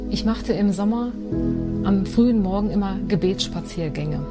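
A woman's voice: mid-range voice, normal speed. Woman telling a testimony over music. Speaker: testimony speaker. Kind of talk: read personal testimony. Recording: over loud ambient music.

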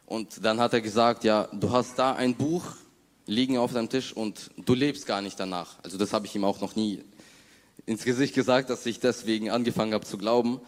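Young man, low voice, halting personal testimony in a reverberant hall. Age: young adult. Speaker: church member giving personal testimony. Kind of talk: personal testimony, spoken unscripted.

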